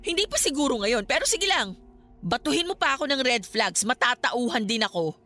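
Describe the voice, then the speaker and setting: A woman's voice: a little high, expressive, fairly quick, bright, forceful tone, soft background music. A woman with a Filipino accent playing an anxious, fed-up young girlfriend in an emotional radio horror drama. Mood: hurt, reproachful. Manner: emotional, pleading.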